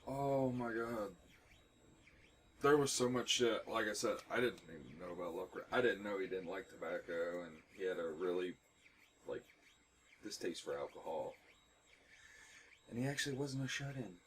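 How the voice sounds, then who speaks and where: A man's voice: sits low, normal speed, long pauses, a bit bright, a little hiss or hum. A man streaming gameplay with casual, blunt commentary and offhand, sweary reactions.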